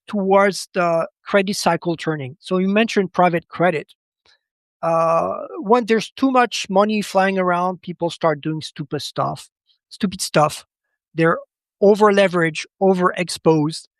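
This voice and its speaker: A man speaking off the cuff as an interview guest and analyst, halting and often repeating words as he goes. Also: high-pitched, dry recording. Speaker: podcast guest, credit market analyst. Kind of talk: unscripted interview commentary.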